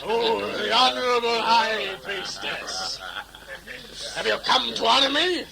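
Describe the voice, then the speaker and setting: An actor playing a proud, volatile, bloodthirsty warrior lord in an audio drama, with theatrical, grandiose delivery. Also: male voice, very high-pitched, big pitch swings, slow, creaky, very noisy, exaggerated voice. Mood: grand, taunting.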